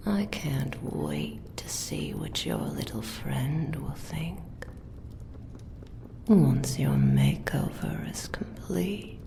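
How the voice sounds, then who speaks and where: A very deep, crackling female villain played by an actress speaks slowly and deliberately. She is a cruel demonic mage in an ASMR roleplay, taunting and possessive, murmuring with quiet menace over the one she owns. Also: expressive, full character voice. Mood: possessive, threatening. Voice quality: breathy whispering, gravelly.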